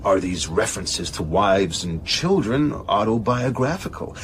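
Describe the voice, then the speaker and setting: A man acting a measured, pedantic, evasive establishment gentleman with hesitant, careful speech in a radio drama. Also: fairly low pitch, big pitch swings, slight background noise. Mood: musing, aloof.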